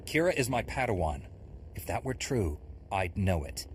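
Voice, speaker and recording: An actor playing a calm, resolute Jedi master in a video game, matter-of-fact and protective. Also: male voice, lowish voice, normal speed, a little hiss or hum. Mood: firm. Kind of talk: dramatic video game acting.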